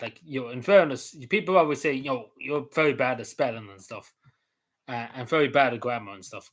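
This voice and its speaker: A man's voice: mid-range voice, a bit bright. A man rambling casually on camera, hesitant, with many fillers, restarts and small chuckles. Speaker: vlogger.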